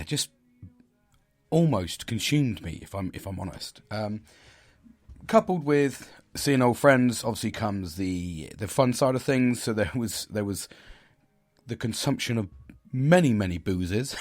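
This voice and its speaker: A husky middle-aged man from the United Kingdom hosting a casual solo podcast, talking in a hesitant way with many fillers and restarts. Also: mid-range voice, a little mellow. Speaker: podcast host.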